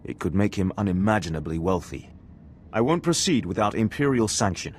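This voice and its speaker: A man's voice: on the low side, very animated, a little fast, slight background noise. An actor playing a stern, dignified aristocratic male alien base commander in a video game. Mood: grave, measured.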